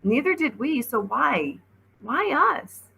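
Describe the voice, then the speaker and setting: A woman's voice: high-pitched, sing-song, slow, vocal fry, breathy edge, lo-fi sound, voice pushed up, heavily acted. A woman voicing a thoughtful, wary, slightly awkward girl in a storybook, reasoning aloud with some hesitation. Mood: uneasy.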